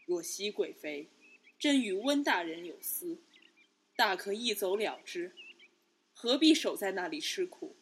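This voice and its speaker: A woman playing a stern, plain-spoken Buddhist nun in a Chinese palace radio drama, measured, subdued and grave. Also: mid-range voice, expressive, husky. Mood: grave, reproachful.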